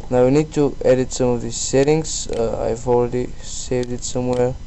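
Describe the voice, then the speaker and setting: A man presenting a casual screen-recorded software tutorial in a halting, self-deprecating way. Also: medium pitch. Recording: steady background noise on voice-over.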